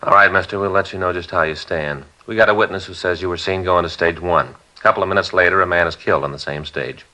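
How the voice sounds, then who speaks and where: An actor playing a blunt, accusing police detective in a 1950s radio drama. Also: male voice, deep voice, fast, few pauses, sharp tone, poor audio. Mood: stern, accusing. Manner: blunt, pressing.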